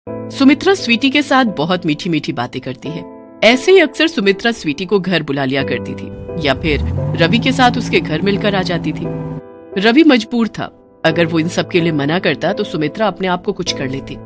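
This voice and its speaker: A woman's voice: on the low side, big pitch swings, normal speed, music in the background. A woman narrating a scripted moral story as a storyteller.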